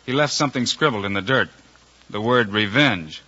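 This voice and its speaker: A man playing a calm, shrewd, fair-minded lawman with an American accent in an old radio western. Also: lowish voice, moderate pace. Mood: firm. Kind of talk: 1950s radio western acting. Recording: dull old radio recording.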